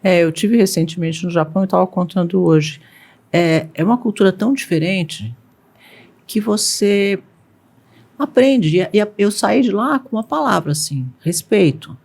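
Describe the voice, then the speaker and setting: A woman's voice: medium pitch, moderate pace, creaky, darkish tone. A middle-aged woman hosting an interview podcast, effusive and conversational. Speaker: podcast host.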